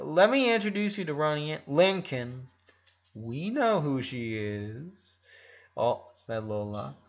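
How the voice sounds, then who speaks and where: A man's voice: mid-range voice, lo-fi sound. A man narrating a fan fiction story aloud in a dramatic reading style.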